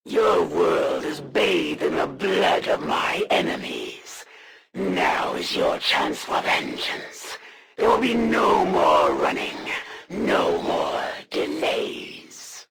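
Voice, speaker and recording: Proud alien assassin lady from a video game, super creaky, pitch swooping all over, formal and menacing. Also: noisy background, heavily acted. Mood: grave, vengeful. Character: proud, menacing alien female assassin hunter serving her masters. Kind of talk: dramatic video game character voice lines.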